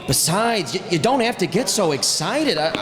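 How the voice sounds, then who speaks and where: A man's voice: high-pitched, very animated, normal speed, music in the background. A man playing a worried, stammering boyfriend pleading in an old-time radio melodrama. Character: worried, fussing boyfriend, male. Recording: very echoey hall, crowd behind.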